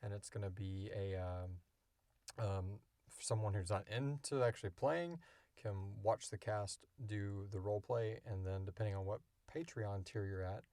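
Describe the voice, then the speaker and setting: A man's voice: fairly low pitch, moderate pace, mellow, no room sound. A man in his thirties hosting a casual podcast Q&A, rambling along in an enthusiastic, jokey way. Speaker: podcast creator and host. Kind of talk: casual Q&A chat.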